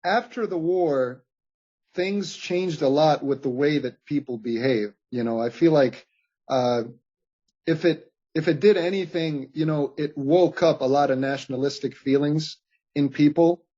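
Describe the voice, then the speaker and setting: A man in his late twenties from Bosnia speaks as a podcast guest, earnest and halting. His voice is husky and a bit breathy. The audio is dull and lo-fi. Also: on the high side, mellow. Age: late 20s. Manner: earnest, halting with many fillers and restarts. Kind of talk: reflective interview conversation.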